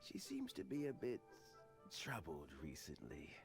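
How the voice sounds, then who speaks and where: Very animated, concerned middle-aged father. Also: on the low side, measured pace, vocal fry, soft background music, heavily acted. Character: concerned, courteous father of a grown daughter, senior official. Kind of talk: video game voice acting.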